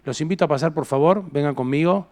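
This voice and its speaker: A man's voice: a little high, fast talker, darkish tone. A man hosting an event, introducing the next presenters on stage in speech. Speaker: event host.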